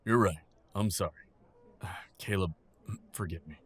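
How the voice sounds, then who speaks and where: An older husband and father in an audio drama, played by a man. His voice is deep and rough around the edges, steady and reassuring, with a gently teasing streak. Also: unhurried, exaggerated voice. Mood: apologetic.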